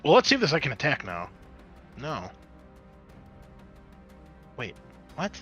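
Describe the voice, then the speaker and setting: A man's voice: mid-range voice, huge pitch swings, measured pace. A man acting as game master of a tabletop roleplaying game, talking casually and muttering asides as he works the game. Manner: casual swearing, muttering asides while working the game software. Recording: music behind the voice. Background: music.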